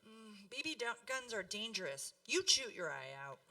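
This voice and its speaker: A woman's voice: mid-range voice, sing-song, moderate pace, pushed and bright, far from the mic, exaggerated voice. An actress playing a fussing mother of two young boys in a radio play, motherly and cautioning. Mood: disapproving.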